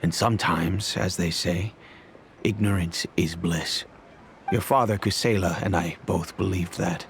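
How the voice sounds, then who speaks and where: An actor playing a grave older patriarch of a wealthy family, speaking in a measured, solemn storytelling manner. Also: male voice, deep voice, steady tone, creaky, slight background noise. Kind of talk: video game voice acting.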